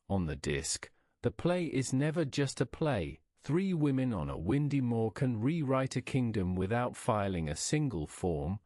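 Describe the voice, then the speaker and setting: A man reading a scripted bedtime story in a measured, wry storytelling manner. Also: mid-range voice, a little mellow, no room sound, clean studio sound.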